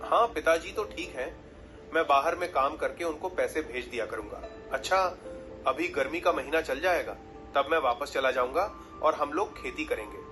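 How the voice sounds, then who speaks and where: A man's voice: fairly high pitch, moderate pace, pushed and bright, faint music behind. A man playing a humble, earnest young village husband in a cartoon, speaking respectfully.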